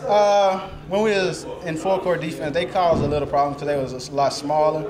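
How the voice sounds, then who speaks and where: A man's voice: a little high, steady tone, moderate pace. A young man of about twenty, a college basketball player, answering in a postgame interview. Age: late teens or around twenty. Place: indoors before a team backdrop. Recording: loud crowd noise behind.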